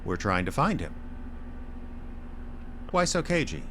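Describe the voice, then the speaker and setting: An actor playing a wry, grumbling small-town private detective in an audio drama, deadpan and sardonic. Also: male voice, mid-range voice, slow pace, slight background noise, full character voice. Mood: probing. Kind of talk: audio drama acting with noir-style narration.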